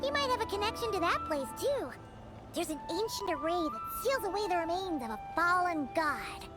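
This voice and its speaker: A woman voicing a small, chatty, excitable childlike companion in a video game. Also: very high voice, moderate pace. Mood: chatty, cheeky. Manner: exclamatory, chatty, refers to herself in third person. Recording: game dialogue with loud background music.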